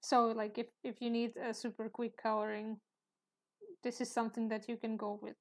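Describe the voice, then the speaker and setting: A woman with a soft, sleepy voice, chatting hesitantly while she draws on a live stream. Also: a little high, flat delivery. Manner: hesitant, halting, with small laughs. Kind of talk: casual chat while sketching.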